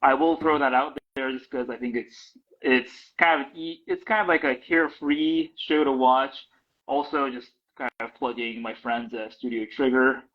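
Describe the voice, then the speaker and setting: A man's voice: medium pitch, moderate pace, highs cut off. A man answering interview questions in a loose, casual way, full of fillers and restarts. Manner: hesitant, many fillers and restarts. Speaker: guest.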